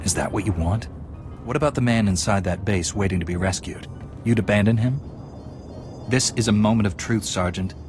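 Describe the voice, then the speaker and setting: Man playing low-voiced, steadfast Jedi knight. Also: music in the background, lightly acted. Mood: resolute. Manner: earnest, measured, resolute. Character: steadfast, composed young male Jedi knight hero. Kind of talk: sci-fi video game acting.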